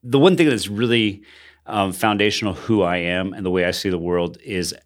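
Earnest low-voiced man in podcast conversation. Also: moderate pace. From Colombia, raised in Bogota by missionary parents. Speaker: pastor and podcast co-host.

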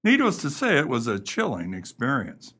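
A man's voice: mid-range voice, huge pitch swings, normal speed, bright, forceful tone, husky. A man reading scripted closing announcements as the host of a radio theater show. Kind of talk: scripted announcement over music. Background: music.

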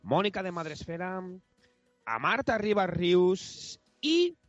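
A man co-hosting a casual podcast, laid-back and quick to laugh. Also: sits high, very animated, no room sound, faint music behind. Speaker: podcast co-host reading chat. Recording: dry, dull lo-fi sound.

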